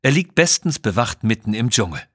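A male narrator reads a children's adventure story from a script. His voice sits at a medium pitch and has a husky grain. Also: very animated, fairly quick, fairly bright tone, dry recording, clean studio sound.

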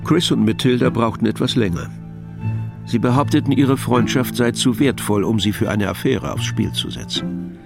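A man narrating a scripted radio play in a measured, matter-of-fact manner. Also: mid-range voice, creaky, music in the background.

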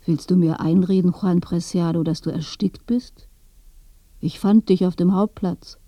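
A woman's voice: fairly low pitch, steady tone, a little slow, dark tone, no echo. An actress playing a weary, disillusioned dead old village woman in a German radio play, matter-of-fact and muted. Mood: dry, indifferent. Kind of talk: German radio play acting, intimate dialogue.

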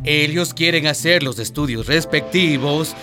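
Man acting pompous, evasive small-town mayor, higher-pitched. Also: unhurried, music in the background, lightly acted. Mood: placating, salesmanlike. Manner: hesitant stammers, chuckling, pompous salesmanship. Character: smooth-talking, evasive male small-town mayor, boosterish. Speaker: actor in a radio drama. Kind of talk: Latin American radio drama acting.

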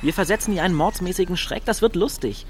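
A man's voice: high voice, fast, slight background noise, lightly acted. An actor playing a cocky, scheming young small-town man in a radio drama, talking in a slangy, pushy, offhand way. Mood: scheming, goading. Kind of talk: naturalistic radio drama acting in German.

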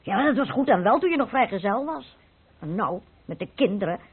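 An actress playing the worried, scolding wife of a penniless painter in a vintage radio play, husky and plaintive. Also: female voice, medium pitch, expressive, brisk, slight background noise, lightly acted. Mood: reproachful. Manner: reproachful, plaintive, nagging. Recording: muffled narrow-band old radio recording.

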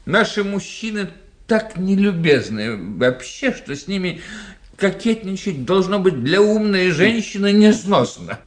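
Witty gossipy doctor in a radio play, very expressive pitch, wry and sceptical. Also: male voice, unhurried. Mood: gossipy, amused. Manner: animated, wry, theatrical. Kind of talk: radio drama acting.

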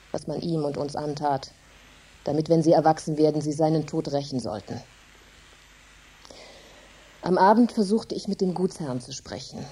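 A woman's voice: low voice, breathy edge. An actress playing a grief-stricken, embittered poor farm widow, telling her story intensely and dramatically in a radio play. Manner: intense, dramatic, emphatic storytelling with hushed drops. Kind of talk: German radio drama monologue.

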